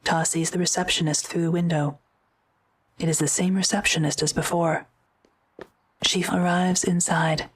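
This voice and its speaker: A woman's voice: on the low side, flat delivery, moderate pace, long pauses, slightly rough, rough recording. A woman reading scripted narration for an audio drama.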